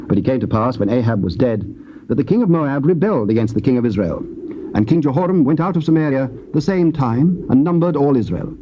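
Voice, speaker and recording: Solemn narrator on muffled old cassette. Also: male voice, mid-range voice, expressive, slight background noise. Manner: measured, solemn reading. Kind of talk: scripted scripture narration.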